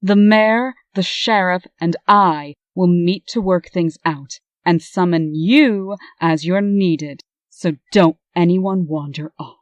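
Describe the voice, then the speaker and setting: A woman's voice: on the low side, takes it slowly, few pauses, no echo. A woman playing a stern, bossy, no-nonsense administrator in a comic dub, curt and commanding. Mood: stern, brisk. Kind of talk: amateur comic dub acting.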